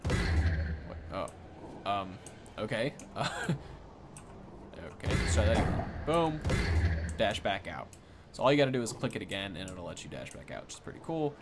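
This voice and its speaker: A man's voice: fairly low pitch, big pitch swings, unhurried, pauses often, background noise. A man giving casual, off-the-cuff game commentary in an informal way, thinking aloud as he goes. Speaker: gaming content creator giving a first look.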